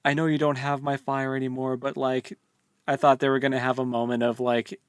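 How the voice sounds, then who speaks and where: A man's voice: medium pitch, little pitch movement, brisk, clear, resonant tone, no echo, studio quality. A man chatting casually as a podcast co-host, with a colloquial, slangy, jokey way of talking. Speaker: co-host of a book discussion podcast. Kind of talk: casual chat recapping and reviewing a fantasy novel.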